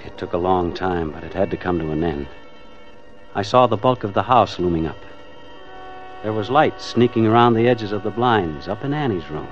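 A man's voice: on the low side, expressive, moderate pace. An American actor playing a weary, hard-boiled family man narrating his hostage ordeal in an old-time radio drama. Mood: grim, brooding. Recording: dull old radio recording with music.

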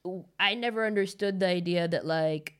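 A low-pitched American woman in her thirties speaks on a podcast with easy, casual candor. She jokes and swears freely and talks plainly into a close microphone. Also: normal speed, clean tone. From United States. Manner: candid, playful, swearing freely. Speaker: podcast co-host. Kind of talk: casual chat.

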